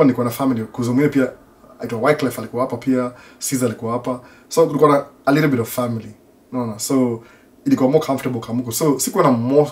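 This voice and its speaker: A man's voice: mid-range voice, far from the mic. A middle-aged Kenyan man with an American tinge to his accent, a native Swahili speaker, chatting casually in a rambling, self-repeating way as a podcast host. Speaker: podcast host answering listener questions. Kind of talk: casual chat mixing Swahili and English.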